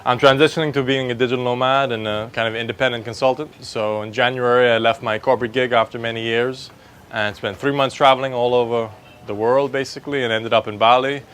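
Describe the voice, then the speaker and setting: A man in his thirties from the Bahamas, presenting a talk in a relaxed, conversational way into a handheld mic in an open-air pavilion. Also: medium pitch, fast, slight background noise. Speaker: speaker, digital marketing consultant. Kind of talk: conference talk.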